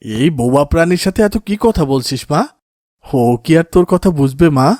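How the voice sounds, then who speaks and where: A man's voice: a little high, animated, moderate pace, darkish tone, no echo, studio quality, natural voice. A man playing an emotional, devout village father in a melodramatic cartoon, speaking in a pleading, emotive way. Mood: gentle, resolute. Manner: pleading, emotive, often invoking God. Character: anguished, devout village father of a motherless daughter.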